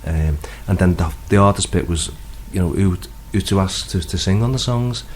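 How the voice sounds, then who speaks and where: A man with a Liverpool accent and a low voice talks casually as an interview guest. He is hesitant and rambles a little, with faint noise behind him. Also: normal speed, a little mellow. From Liverpool, England. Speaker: guest, singer-songwriter. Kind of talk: casual radio interview chat.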